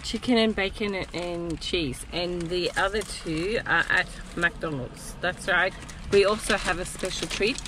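A woman's voice: lowish voice, unhurried. A woman in her forties with an Australian accent, chatting casually to a handheld camera outdoors and gushing over the scenery. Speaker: travel vlogger. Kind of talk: casual vlog narration. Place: outdoors on a beach and in a car. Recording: outdoor noise behind the voice.

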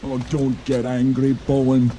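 An actor voicing a wise, gentle male dragon who talks in a mildly teasing, chuckling way. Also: medium pitch, monotone, measured pace, slight background noise, lightly acted. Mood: apologetic. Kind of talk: film acting.

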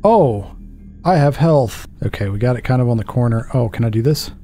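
A man's voice: medium pitch, lively intonation, brisk, mellow, soft background music. A man in his thirties giving casual let's play commentary, thinking aloud in a jittery, self-deprecating way. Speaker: gaming video host.

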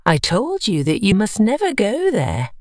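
A woman's voice: mid-range voice, wildly swooping pitch, unhurried, no echo, studio quality. A woman voicing a troubled, secretive lord and husband in a story, stern and commanding. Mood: angry.

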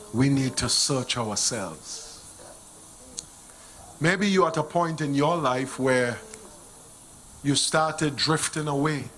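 A middle-aged man with a Vincentian accent preaching a sermon in a church, speaking into a handheld microphone, swinging from hushed appeals to loud exclamations. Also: mid-range voice, low-quality recording. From Saint Vincent and the Grenadines. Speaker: pastor. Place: church sanctuary stage.

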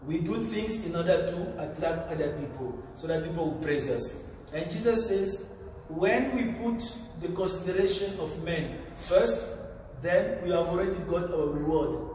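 Man, priest, homily, high voice, unhurried, echoing hall, distant mic. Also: darkish tone, slight background noise. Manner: slow, deliberate preaching with frequent pauses and fillers. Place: large tiled chapel, indoors. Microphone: far camera. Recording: echoey chapel from far off.

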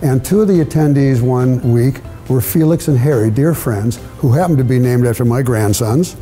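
Older man, very mellow dark timbre, guest storyteller, faint music underneath. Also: mid-range voice, normal speed, low-quality recording. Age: older, with grandchildren. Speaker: children's book author, guest. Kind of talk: interview storytelling. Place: indoor studio set with brick walls, seated at a table. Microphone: lapel mic.

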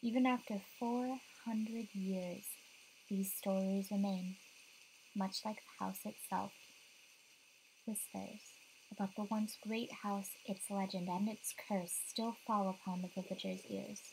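Hushed, solemn gothic storyteller read by a woman. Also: mid-range voice, steady tone, measured pace, gravelly, a little hiss or hum, rough recording. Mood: solemn, ominous. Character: grave narrator of a gothic haunted mansion legend. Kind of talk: dramatic scripted narration.

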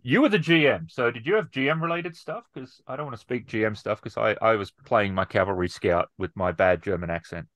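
A man co-hosting a podcast, telling a quick anecdote and acting out the quotes. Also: on the low side, a bit bright, no echo. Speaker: podcast co-host.

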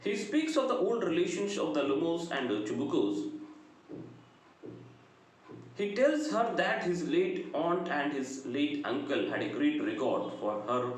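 A middle-aged man with an Indian accent giving a literature lecture, deliberate and emphatic, phrase by phrase. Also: fairly high pitch. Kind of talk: lecture summarizing a play. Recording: very echoey room, faint background noise.

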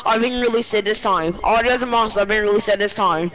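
A woman's voice: mid-range voice, fast, a bit bright, lightly acted. A woman playing an obedient laboratory assistant in an amateur game roleplay. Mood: announcing. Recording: very noisy amateur game recording.

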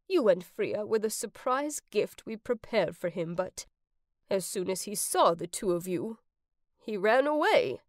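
A woman voicing a kindly, reassuring older nursing home resident with a gentle manner in a dramatic story. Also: mid-range voice, no echo, clean studio sound, pitched below the performer's own voice.

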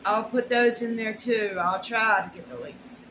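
Slow-talking middle-aged woman, noisy recording. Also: on the high side, fairly bright tone. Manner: folksy, colloquial, unhurried. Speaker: haul vlogger. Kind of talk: casual unboxing chat. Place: small room at home, indoors. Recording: noisy home camera recording.

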